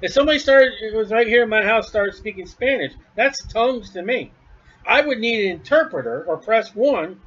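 Blunt, high-voiced male preacher. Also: animated, moderate pace, fairly bright tone, slightly rough, lo-fi sound. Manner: blunt, scornful, emphatic. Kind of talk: home-recorded sermon. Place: at home.

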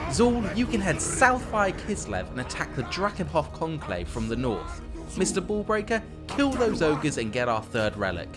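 A man giving playful, wry commentary on a strategy game as he plays. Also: mid-range voice. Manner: wry, tongue-in-cheek. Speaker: gameplay commentator. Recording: voice-over with background music. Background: music.

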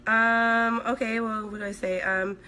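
A woman in her thirties from Sweden, a makeup artist chatting on a live stream from home with a hoarse, recovering voice while she works and reads out viewer comments. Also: on the high side, little pitch movement, a little slow, pushed and bright. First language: Swedish. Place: indoors at home, small room. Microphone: phone at arm's length.

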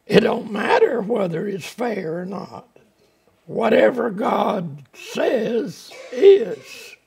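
A man's voice: sits high, sing-song, very slow, creaky, a little mellow, slightly rough. An older man preaching a folksy, plainspoken sermon from the pulpit of a small church, speaking through a lapel mic. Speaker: pastor.

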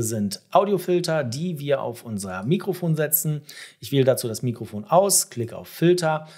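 A man in his forties with a somewhat husky voice at a middle pitch. He walks the listener through software steps as a tutorial host, direct and conversational. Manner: direct, instructive, addressing the viewer. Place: small home studio room, indoors.

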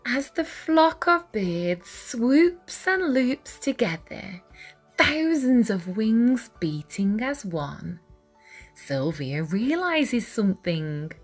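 A woman reading a children's picture book aloud with deliberate, dramatic phrasing for young listeners. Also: fairly high pitch, very animated. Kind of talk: expressive read-aloud storytelling. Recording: music behind the voice. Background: music.

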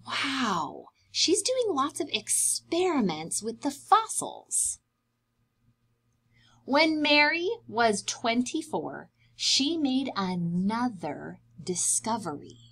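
Young woman, preschool story-time reader. Very lively, swooping intonation, unhurried delivery, simple child-directed explanations. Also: fairly high pitch, breathy edge. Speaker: preschool teacher reading aloud to children. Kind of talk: expressive children's picture-book read-aloud.

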